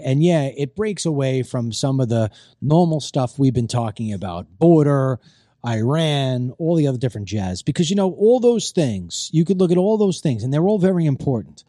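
A man's voice: medium pitch, very animated, normal speed, mellow, no echo, studio quality. A middle-aged man hosting a casual talk show, chatty and rambling, his voice strained as it recovers from being lost. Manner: chatty, rambling, repeats himself. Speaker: host.

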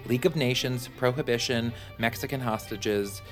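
An actor playing a fussy presidential secretary in an audio drama, precise and officious. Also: male voice, mid-range voice, normal speed, soft background music, close to an everyday voice.

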